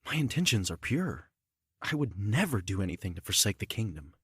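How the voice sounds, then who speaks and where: A man's voice: fairly low pitch, sing-song, a little fast, slightly rough, no echo, clean studio sound, heavily acted. A man playing a deferential, formal male knight in an audio drama.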